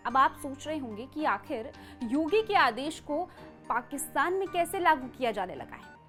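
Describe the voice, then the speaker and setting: A woman's voice: sits high, a bit bright. A woman presenting scripted news in an emphatic, dramatic way. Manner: emphatic, dramatic delivery with rhetorical questions.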